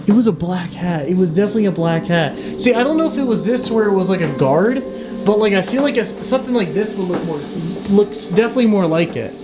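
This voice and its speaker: A young man hosting a ghost-hunting trip, chatting excitedly and rambling as he swears and repeats himself. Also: high-pitched, fast talker. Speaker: paranormal channel host. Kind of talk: casual excited chat.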